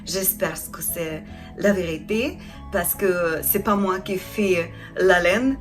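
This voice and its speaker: A woman from Ukraine with a Ukrainian accent, a non-native speaker introducing her craft channel in a halting way, often searching for words. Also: lowish voice, faint music behind. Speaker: craft channel host, felting artisan. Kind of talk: casual introduction to camera.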